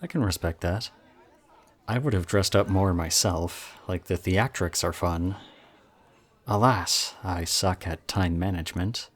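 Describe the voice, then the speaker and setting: A man acting in a roleplay audio drama as a charming, slightly creepy young college student, chatty, self-deprecating and softly teasing. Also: deep voice, gravelly. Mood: playful, charming.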